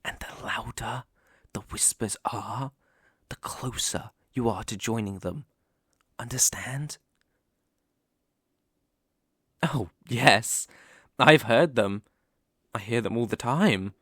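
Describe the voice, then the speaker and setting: A man acts out a sinister British werewolf luring a stranger in an ASMR roleplay. He speaks slowly and teasingly, with theatrical menace that can sink toward a whisper. The sound is close and dry. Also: mid-range voice, fairly bright tone, slightly rough, no room sound. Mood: ominous. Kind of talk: ASMR roleplay audio acting.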